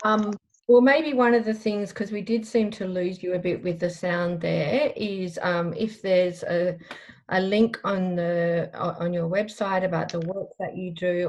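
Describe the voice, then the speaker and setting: A woman's voice: on the low side, darkish tone. A woman hosting an online workshop, asking the panel thoughtful questions. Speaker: workshop host. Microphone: video call.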